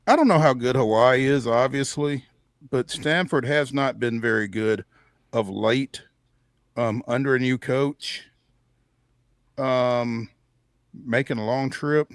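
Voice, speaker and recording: A man's voice: medium pitch, no echo. Man co-hosting sports talk, dry close sound. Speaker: sports show co-host. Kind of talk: casual sports talk with game picks.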